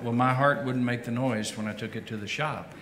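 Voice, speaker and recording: A man's voice: medium pitch, fairly even intonation, brisk. A man who is a pastor, chatting casually about his recent heart surgery, joking and rambling with many restarts and filler words. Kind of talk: casual chat. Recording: echoey room with faint noise.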